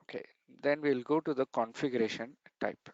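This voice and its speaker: A man's voice: medium pitch, fairly quick, darkish tone, no room sound, muffled recording. A man giving a technical training lesson over slides, explaining step by step and repeating key points.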